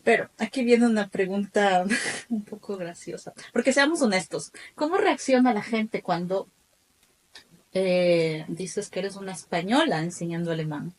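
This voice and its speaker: A woman's voice: medium pitch, a little slow. A woman hosting a casual interview podcast who listens closely and asks friendly questions. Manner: attentive listener, steady soft backchannels. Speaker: podcast host.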